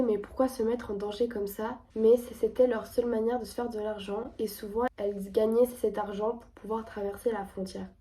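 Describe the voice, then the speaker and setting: A woman's voice: on the high side, warm tone, recorded from a distance. A young woman with a cold, speaking, earnestly explaining a topic step by step as the host of an educational video. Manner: clear, earnest explaining, reading definitions and figures. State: stuffy, has a cold. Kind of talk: explanatory presentation.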